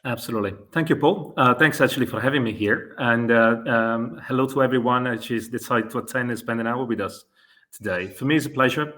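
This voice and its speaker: A man's voice: medium pitch, a little fast, a bit bright. A man in his forties from Italy with an Italian accent, answering interview questions hesitantly, with many fillers and self-corrections. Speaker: guest, e-commerce consultant.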